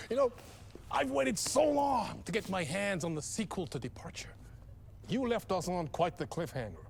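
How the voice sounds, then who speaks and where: A man's voice: high-pitched, animated, a little slow, vocal fry, pauses often, slight background noise, exaggerated voice. An actor playing an effusive, teasing male TV talk show host with a showy, playful manner and dramatic emphasis. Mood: playful, intrigued. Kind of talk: theatrical late-night talk show hosting.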